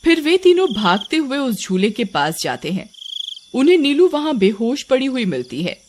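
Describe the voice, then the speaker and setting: A woman's voice: high voice, very animated, normal speed, a little hiss or hum. A woman narrator reading a scripted story for a cartoon. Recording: music bed behind voice.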